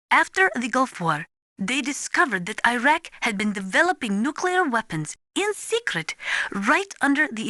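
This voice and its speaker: A serious female weapons analyst in a video game. Her voice is bright and crisp-edged, with lively movement in pitch, recorded very dry. She lectures formally and precisely, in earnest. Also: no echo. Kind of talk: scripted expository video game dialogue.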